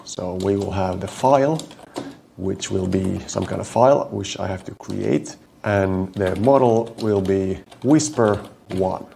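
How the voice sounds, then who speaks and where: A man from Finland with a Finnish accent, talking through his code as he types, slowing down to spell out each command. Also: on the low side, creaky, a little mellow, slight background noise. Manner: thinks aloud while typing, slows to spell out code. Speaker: coding tutorial host. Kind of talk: live coding walkthrough.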